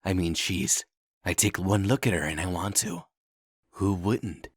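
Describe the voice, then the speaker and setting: A man's voice: low-pitched, unhurried, no room sound, studio quality. A man playing a meek, earnest, lovestruck prince who is polite and timid, in a comic dub. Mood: shy, earnest.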